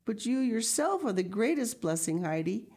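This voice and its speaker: A woman's voice: a little high, big pitch swings, normal speed, a little mellow, breathy edge, voice pushed up. A woman voicing a frail, tender old blind grandmother in a storybook, overcome with emotion as she exclaims. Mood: grateful, tender. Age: older.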